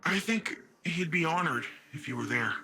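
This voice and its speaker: An actor playing a young cyborg city champion, earnest and easygoing, with informal phrasing in a video game. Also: male voice, a little high, lively intonation, normal speed, bright, forceful tone, slight background noise. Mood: hopeful, friendly. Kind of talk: video game character acting.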